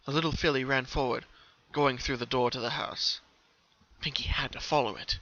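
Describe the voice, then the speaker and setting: A man's voice: medium pitch, steady tone, moderate pace, sharp tone. A man narrating a story as a dramatic reading, on a muffled lo-fi home recording.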